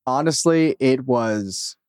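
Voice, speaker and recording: A man's voice: mid-range voice, slow pace, a little mellow, clear, resonant tone, no room sound, clean studio sound. A man in his thirties chatting casually as an interview guest, candid and self-deprecating about himself. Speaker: podcast creator guest.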